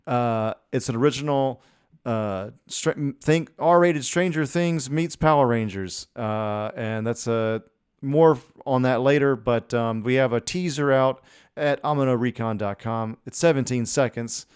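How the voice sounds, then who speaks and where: Male podcast co-host, mid-pitched and clean-toned, loose irreverent banter. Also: unhurried, clean tone. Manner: irreverent, joking. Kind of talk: casual chat and banter.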